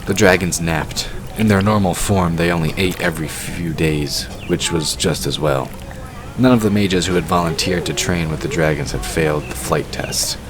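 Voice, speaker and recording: A man's voice: low voice, fairly even intonation, normal speed. A man narrating a scripted fantasy story for an audio drama. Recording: clearly noisy background. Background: ambient sound effects.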